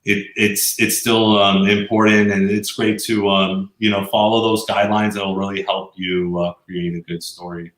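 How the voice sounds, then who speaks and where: A man's voice: lowish voice, little pitch movement, moderate pace, few pauses, fairly bright tone. A man being interviewed, explaining his work in a casual way, often circling back to rephrase. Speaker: interview guest, explainer video professional. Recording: roomy, echoey sound.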